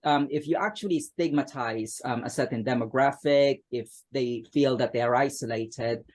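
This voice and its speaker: A man's voice: mid-range voice, normal speed, a little mellow. A man from the Philippines, answering interview questions hesitantly, with many ums and restarts. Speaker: guest author.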